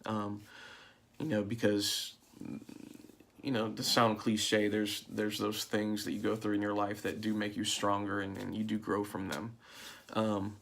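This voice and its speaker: A man in his forties talking in a casual, reflective monologue to his channel, halting often with fillers and restarts. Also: on the low side, flat delivery, creaky, a bit bright, a little hiss or hum. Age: 40s. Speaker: vlogger.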